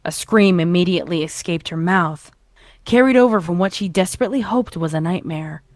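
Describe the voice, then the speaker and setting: A woman's voice: lowish voice, fairly quick, no room sound, studio quality. A woman reading scripted horror fan fiction as its narrator. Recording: music behind the voice.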